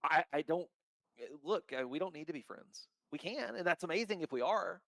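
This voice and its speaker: A man who founded a crypto token, talking in a casual podcast chat, outspoken and blunt with a loud voice and free swearing. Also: a little high, expressive, a little fast, creaky, dry recording.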